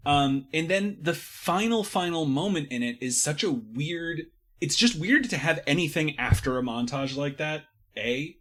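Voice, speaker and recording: A man's voice: fairly high pitch, expressive, a little slow, a bit bright. A man talking casually and analytically about books as a podcast panelist, with slangy turns of phrase. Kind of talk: casual book discussion.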